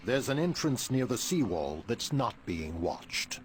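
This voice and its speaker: A man voicing a composed, commanding mutant leader in a video game cutscene, with formal, deliberate diction. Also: medium pitch, sing-song, a little hiss or hum. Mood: grave, measured. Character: composed, commanding male mutant leader briefing his team.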